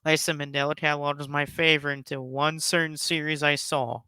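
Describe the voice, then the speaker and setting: Bright, high-pitched man, emphatic countdown host. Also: flat delivery, fairly quick, clean tone, no echo, clean studio sound. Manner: emphatic, effusive, rambling. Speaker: YouTube host giving a top ten countdown. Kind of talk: casual enthusiastic commentary.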